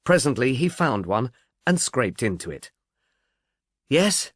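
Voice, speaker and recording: A man's voice: medium pitch, very animated, moderate pace, no room sound, clean studio sound. A man reading scripted literary narration as an audiobook narrator. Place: close, dry recording.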